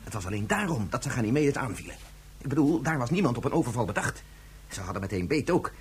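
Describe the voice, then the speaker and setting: An actor playing an insistent scientist in a science-fiction radio drama, reasoning emphatically step by step. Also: male voice, medium pitch, big pitch swings, quick pace, slightly rough. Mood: explaining. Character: insistent, reasoning male scientist and father figure.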